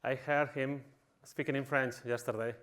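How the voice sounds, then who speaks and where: A man's voice: medium pitch, fairly even intonation, normal speed, a bit bright. A man from Spain with a Spanish accent, giving a humorous conference talk in a tongue-in-cheek, mock-villainous manner. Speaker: conference speaker.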